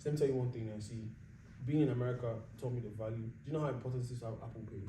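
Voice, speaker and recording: A young man with a Nigerian accent telling stories in a casual chat, animated and laughing a lot, saying "bro" often. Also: medium pitch, racing. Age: young adult. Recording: echoey room, mic at a distance, faint background noise.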